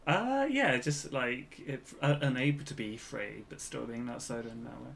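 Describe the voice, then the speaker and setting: A man co-hosting a podcast in casual conversation, speaking tentatively and haltingly as he thinks aloud. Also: mid-range voice, expressive, creaky, a bit bright, slight background noise. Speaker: podcast co-host.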